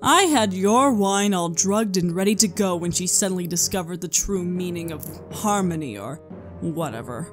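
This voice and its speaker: A woman's voice: on the low side, lively intonation, normal speed, lightly acted. A woman voicing a cold, mocking, ancient regal pony princess in a dramatised story reading, sardonic in manner. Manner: leisurely, sardonic. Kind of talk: dramatised fan fiction reading. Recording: music behind the voice.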